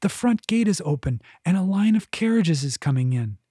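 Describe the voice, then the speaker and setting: A man's voice: sits high, big pitch swings, normal speed, warm tone, husky, no echo, studio quality, pitched above the performer's own voice, full character voice. A man voicing a cheeky, greedy female cat who makes demands in a raised voice. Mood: urgent.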